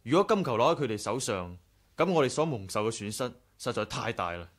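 A loyal, cautious aide. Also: male voice, medium pitch, big pitch swings, a little fast. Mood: cautious, urgent. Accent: Hong Kong Cantonese. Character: loyal, cautious male aide, devoted to his leader. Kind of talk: 1980s radio drama acting.

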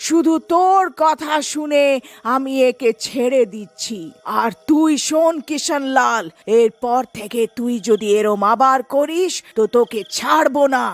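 A woman's voice: high voice, measured pace, dry recording, faint music behind. A woman voicing a vengeful female witch ghost in a cartoon story, menacing and scolding, drawing out her threats. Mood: stern. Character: vengeful female witch ghost who punishes greedy men.

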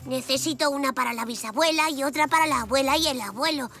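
Five-year-old cartoon zebra boy, high childlike voice, very bright, curious, eager. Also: fast, creaky, exaggerated voice. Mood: thoughtful. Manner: eager, expressive.

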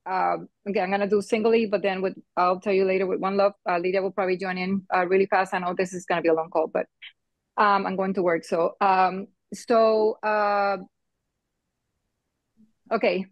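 A woman's voice: mid-range voice, level tone, normal speed, no room sound, lo-fi sound. A middle-aged woman from Rico, speaking on a phone video call and sharing her mission in a hesitant way, full of ums and "right?". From Puerto Rico. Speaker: nonprofit member calling in. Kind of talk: casual call testimony.

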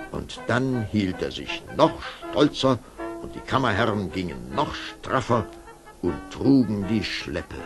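A man narrating a scripted fairy tale as an expressive storyteller. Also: sits low.

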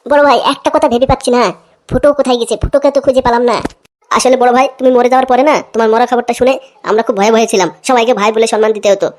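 A woman voicing excitable, foul-mouthed cartoon gangsters, blustering and repeating phrases in a comic dubbing style. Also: fairly high pitch, rapid-fire, lightly acted. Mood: deferential.

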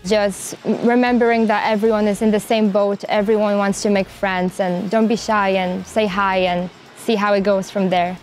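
A young woman with an Irish accent, an exchange student calmly answering interview questions outdoors on a lapel mic, often pausing with um. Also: medium pitch, soft background music. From Ireland. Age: young adult. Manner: calm, with many ums. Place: university courtyard outdoors.

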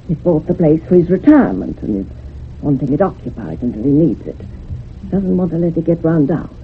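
An actress playing a widowed, house-proud village housekeeper, chatty and matter-of-fact, in a radio drama. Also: female voice, deep voice, sing-song, brisk, a little hiss or hum. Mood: explaining. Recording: muffled lo-fi old radio recording.

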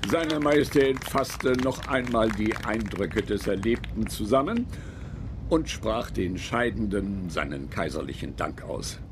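Slow, ceremonious chronicler. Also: male voice, lowish voice, big pitch swings, background noise. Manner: formal, ceremonious. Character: formal, deferential male chronicler of court ceremony. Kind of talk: radio play, chronicle narration.

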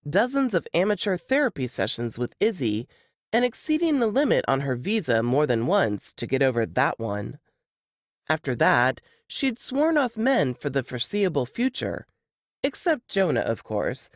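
A woman reads fiction aloud as a narrator, her intonation rising and falling in a lively way. The recording is dry and close, giving the storytelling an uncluttered sound. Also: low-pitched, a little slow, dry recording. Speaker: narrator reading a novel excerpt. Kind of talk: expressive audiobook-style narration.

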